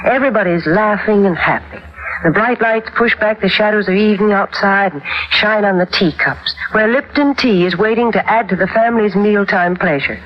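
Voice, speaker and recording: A woman delivering a wholesome, polished sponsor pitch in the style of 1940s radio advertising. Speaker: sponsor announcer and host's foil. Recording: dull vintage radio recording.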